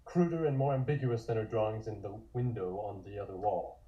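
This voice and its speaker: A man's voice: lowish voice, a little fast, mellow, dull audio. A man reading scripted scene descriptions as the narrator of a table read.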